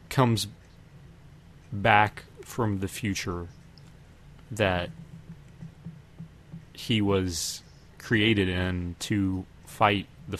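Male co-host, low register, conversational and halting, slightly noisy recording. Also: fairly bright tone. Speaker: podcast host. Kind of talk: casual TV show discussion. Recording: noticeable background noise.

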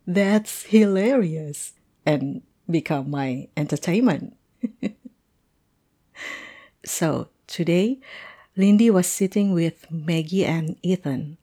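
A woman playing a low, mellow, playful teacher. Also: breathy edge, heavily acted. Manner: animated, amused, punctuated with chuckles. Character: playful, chatty female teacher telling a colleague a lunchtime story. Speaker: voice performer in an audio roleplay. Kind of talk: conversational one-sided roleplay storytelling.

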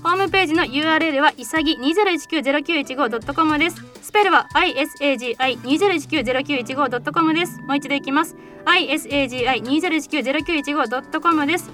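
A woman hosting a web radio show with a relaxed, rambling manner. Her voice sits high, and loud music runs underneath. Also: rapid-fire. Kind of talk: casual chat. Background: background music.

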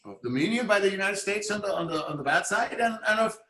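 Guest, male, grew up in Puerto Rico, animated talker with a slightly high voice, roomy echo. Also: fast talker. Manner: animated, thoughtful, many restarts. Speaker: guest, arts and Spanish teacher. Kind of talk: casual podcast conversation.

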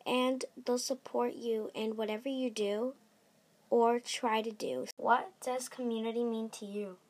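Young woman interviewer, fairly high voice, friendly and upbeat. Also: steady tone, a little slow, darkish tone. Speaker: student interviewer. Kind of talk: family interview.

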